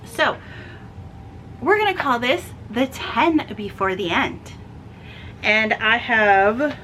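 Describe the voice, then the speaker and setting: A woman's voice: a little high, bright, forceful tone, slight background noise, low-quality recording. A woman giving a casual, chatty book vlog, rambling along and correcting herself as she goes. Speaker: book vlogger.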